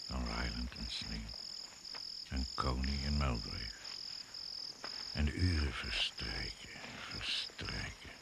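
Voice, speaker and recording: An actor narrating a radio drama in a slow, grave, incantatory way with a gravelly voice. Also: male voice, extremely low pitch, heavily acted. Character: grave, brooding male narrator of a jungle trek. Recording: very noisy, chirring old radio recording.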